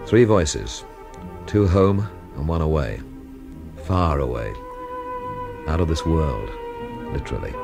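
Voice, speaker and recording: A man's voice: a bass voice, animated, slow pace, vocal fry, long pauses, over music, exaggerated voice. An actor playing a wry, thoughtful man who narrates a radio mystery drama in a dry, understated manner. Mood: reflective.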